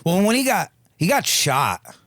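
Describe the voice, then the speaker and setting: A man's voice: high voice, big pitch swings, unhurried, a bit bright, dry recording, clean studio sound. A man, a comedian and drug counselor, chatting as a podcast guest, rambling and swearing, restarting his sentences. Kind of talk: casual chat.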